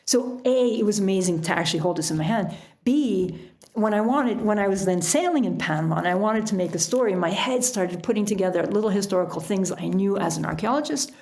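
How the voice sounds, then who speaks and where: A woman talking casually as an interview guest, telling stories in an animated way with asides. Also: mid-range voice, fairly quick. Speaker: podcast guest, author.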